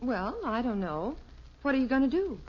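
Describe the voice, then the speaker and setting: Wry sitcom mother, highish voice. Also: sing-song, normal speed. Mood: gently firm. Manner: gently teasing, matter-of-fact. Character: calm, wry, practical suburban wife and mother. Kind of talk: 1950s radio sitcom acting. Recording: dull noisy old radio, audience behind.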